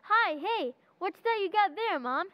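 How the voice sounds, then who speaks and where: A woman's voice: extremely high pitch, lively intonation, measured pace, dull audio. A woman playing a skeptical, wisecracking American boy in old-time radio comedy style, youthful and blunt with gee-whiz slang. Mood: wry.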